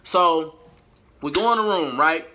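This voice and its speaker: Bright-voiced man telling a story. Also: fairly high pitch, measured pace, a little hiss or hum. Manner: animated, slangy, acts out quoted dialogue. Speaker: vlogger and amateur singer. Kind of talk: casual storytelling to viewers.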